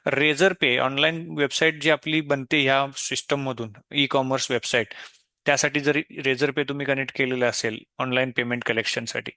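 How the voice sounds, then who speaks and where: Bright-voiced Maharashtrian man giving software walkthrough. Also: medium pitch, dry recording, clean studio sound. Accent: Indian, Maharashtrian. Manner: explanatory, step by step, mixing in English tech terms. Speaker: software demo presenter. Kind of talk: unscripted software tutorial walkthrough.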